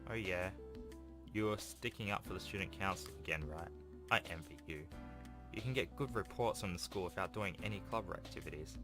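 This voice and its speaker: Guy doing a condescending teen rival from a game, sneery, deep voice, music behind. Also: a little fast, lightly acted. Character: smug, condescending teenage schoolboy rival.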